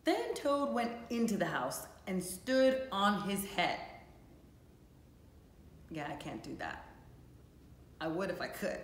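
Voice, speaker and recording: Slow, animated woman reading to children. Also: medium pitch, a little hiss or hum. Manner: expressive, addressing young children. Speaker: teacher reading a storybook aloud. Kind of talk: animated children's storybook read-aloud. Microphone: camera or phone a few feet away. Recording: echoey room.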